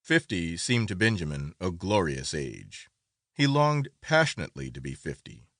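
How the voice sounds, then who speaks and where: A man's voice: sits low, very animated, normal speed, a bit bright, dry recording, studio quality. A man narrating a classic literary story as a dramatic, scripted audiobook reading.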